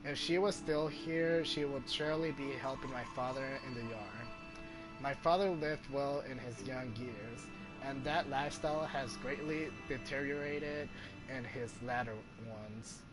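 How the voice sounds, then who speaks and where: A man live streaming a game, reading story text aloud haltingly, often stumbling and correcting himself. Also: mid-range voice, rough recording. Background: game music.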